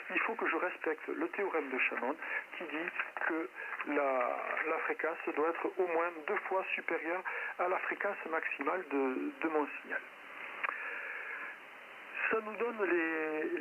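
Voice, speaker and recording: A middle-aged man giving an informal technical lecture over amateur radio, explaining step by step and often rephrasing as he goes. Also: on the high side. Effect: amateur radio transmission. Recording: narrow-band shortwave radio transmission, loud static.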